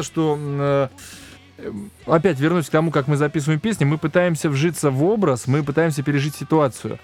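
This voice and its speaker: A man's voice: fairly high pitch, a little mellow. A man from a band, a podcast guest, making his points in an emphatic, opinionated way. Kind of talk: casual podcast interview.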